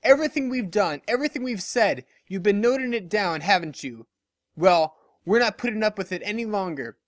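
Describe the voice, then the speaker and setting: A narrator voicing a brash, hostile young female pegasus pony, accusatory and forceful, in a story. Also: medium pitch, expressive, a little fast, dry recording, clean studio sound, voice pushed up, natural voice. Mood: angry.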